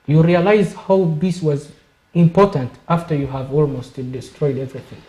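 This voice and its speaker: A man from Somalia, a guest on a panel discussion, speaking in a measured way and repeating words while he searches for them. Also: fairly high pitch, fairly even intonation, warm tone, reverberant, low-quality recording. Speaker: studio guest.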